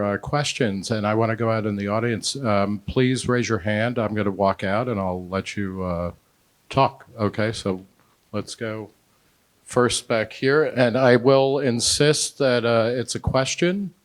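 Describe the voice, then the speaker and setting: A man's voice: mid-range voice, measured pace. A man moderating a live panel informally, taking questions with a handheld microphone. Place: live panel event, moving among audience with mic.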